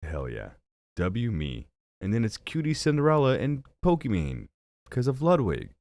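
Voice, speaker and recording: A man's voice: moderate pace, gravelly, no room sound. A young man streaming games and chatting casually, rambling and joking at his own expense, with a stuffy nose and hiccups. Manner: rambling, self-mocking, animated with sudden jumps in pitch. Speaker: streamer and gaming channel host. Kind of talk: casual live-stream chat.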